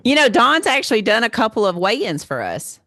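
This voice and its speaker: A woman with a husky, smoker-like voice, hosting a casual podcast chat. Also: fairly high pitch, very animated, a little fast, fairly bright tone, no echo, studio quality. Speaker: podcast host.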